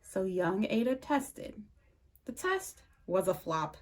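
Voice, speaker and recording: A woman reading a rhyming picture book aloud for storytime, with a lively sing-song delivery and slow, emphatic pauses. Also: mid-range voice. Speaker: storytime reader.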